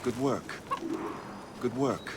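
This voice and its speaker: An actor playing a commanding colonel and mentor in a video game, speaking formally and deliberately with authority. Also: male voice, medium pitch, heavy background noise. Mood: instructive, commanding. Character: commanding, measured colonel, seasoned male military mentor. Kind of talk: video game character acting.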